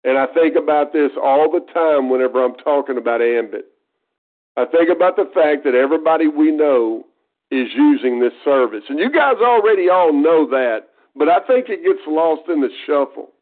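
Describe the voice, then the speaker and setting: A man's voice: a little high, expressive, moderate pace. A man in his fifties with an East Texas accent, a guest speaker talking earnestly and folksily on a conference call, often restarting his phrases. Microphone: telephone. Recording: tinny phone line.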